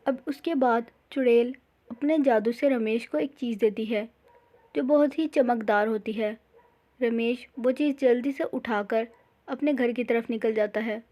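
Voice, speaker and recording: High, mellow woman narrating a story. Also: measured pace. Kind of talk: scripted cartoon story narration. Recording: slightly dull, lo-fi sound.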